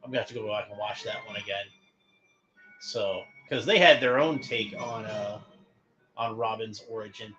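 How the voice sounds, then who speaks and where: A man hosting a watchalong, giving casual fan commentary in a halting, conversational way, thinking aloud as he goes. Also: fairly low pitch, pushed and bright, soft background music. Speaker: host of a cartoon watchalong commentary. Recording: dull lo-fi home recording, background noise.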